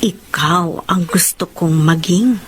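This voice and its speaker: A woman's voice: lowish voice, slow pace, heavy background noise. An actress playing a possessive, scheming rich woman in a Filipino radio drama, with a teasing, insinuating delivery. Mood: seductive, possessive.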